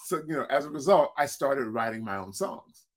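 A man with a deep voice, a singer-songwriter, telling stories in a relaxed interview and often restarting his words. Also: fairly quick. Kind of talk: casual interview storytelling.

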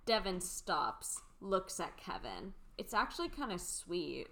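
A young adult woman reads the stage directions in a dry, matter-of-fact way. Her voice sits low. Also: sing-song, unhurried, gravelly, bright, forceful tone. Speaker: podcast co-host reading stage directions. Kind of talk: scripted stage-direction reading.

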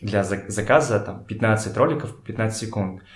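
A man talking casually as a podcast guest, telling things in a halting, slangy way and often repeating words. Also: lowish voice. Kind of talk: casual conversational storytelling. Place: in a room at a home. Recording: echoey room.

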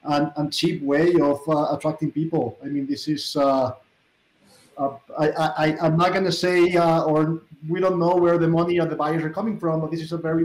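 Higher-pitched man in an echoey room. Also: darkish tone. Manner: halting, repeats words. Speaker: panelist. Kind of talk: casual discussion.

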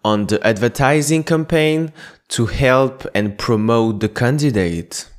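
A man hosting an interview who asks questions in formal, slightly halting phrasing. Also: medium pitch. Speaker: interviewer, host.

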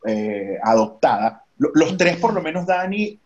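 A man with a Venezuelan accent hosting a casual podcast, talking in an animated way, quick in places and slow in others, with fillers. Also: on the high side, muffled recording. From Maracay, Venezuela. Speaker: podcast host; baseball stadium announcer.

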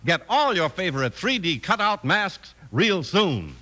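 A man's voice: high voice, big pitch swings, a little slow. A man with an American accent announcing and narrating in an upbeat, polished 1950s radio style.

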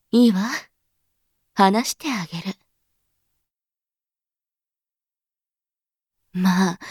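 A woman's voice: on the high side, darkish tone, dry recording, clean studio sound, full character voice. A woman playing a scheming, amused member of the elite in a voice drama, speaking in a haughty, teasing, knowing manner. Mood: teasing, coy. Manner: teasing, haughty, feminine speech with knowing asides. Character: scheming, amused elite woman who secretly engineered a revolution. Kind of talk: voice drama monologue.

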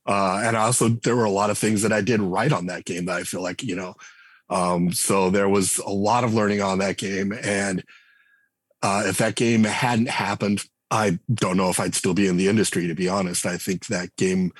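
A man's voice: low voice, fairly even intonation, normal speed, breathy edge, no room sound. A man in his forties speaking as a guest in a casual interview, talking loosely with many restarts and fillers. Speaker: game writer and designer guest. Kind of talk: conversational podcast interview.

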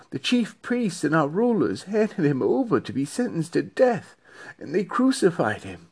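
Man voicing an earnest disciple, high and husky. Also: dark tone, full character voice. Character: earnest, troubled male disciple recounting recent events. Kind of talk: dramatized scripture reading.

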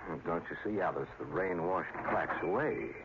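A man's voice: deep voice, animated, moderate pace. An actor playing an earnest small-town newspaperman who speaks and narrates in an old radio mystery, straightforwardly. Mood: patient. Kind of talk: 1940s radio drama acting and first-person narration. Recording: dull, very noisy old radio recording.

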